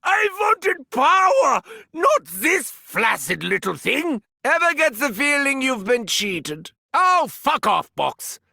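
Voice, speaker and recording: German mad doctor, manic, sadistic, high and swooping, gravelly edge, bright sharp tone, slow deliberate delivery, dry studio sound. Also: male voice, very animated, no room sound, exaggerated voice. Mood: sardonic. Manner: theatrical, cackling, shouted gloating. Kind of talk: video game character barks.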